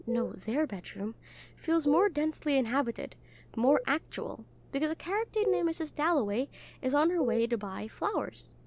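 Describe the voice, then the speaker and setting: Caring young hospital nurse, woman voice actor, lightly high voice, gentle and hesitant, protective, intimate roleplay delivery. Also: slight background noise, natural voice. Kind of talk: intimate audio roleplay acting.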